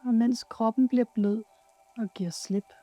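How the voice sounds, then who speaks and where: A woman leading a guided yoga nidra meditation in a soothing, soft, unhurried way with pauses. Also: a little high, fairly even intonation, no echo.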